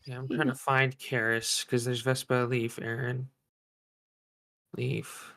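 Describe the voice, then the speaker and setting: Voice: medium pitch, little pitch movement, slow, a bit bright. A volunteer reader chatting casually in an online group. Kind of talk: casual chat.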